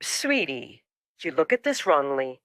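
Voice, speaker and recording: A woman voicing a patient, scheming middle-aged father in an audio drama, speaking in a measured, coaxing way. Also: low voice, big pitch swings, fairly bright tone, no echo, studio quality, exaggerated voice. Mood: coaxing, instructive.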